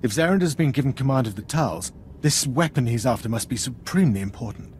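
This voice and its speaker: A man's voice: medium pitch, expressive, quick pace, a little mellow, a little hiss or hum, lightly acted. An actor playing a deferential, earnest military commander in a video game, speaking formally and apologetically as he explains. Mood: earnest briefing.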